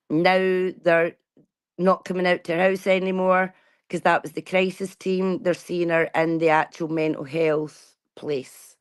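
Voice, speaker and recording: A woman in her forties talks straight to her audience in a casual, outspoken way. Her voice sits a little low for a woman, and she swears without holding back. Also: smooth. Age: 40s. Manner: blunt, outspoken, swears freely. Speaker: vlogger. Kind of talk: casual chat.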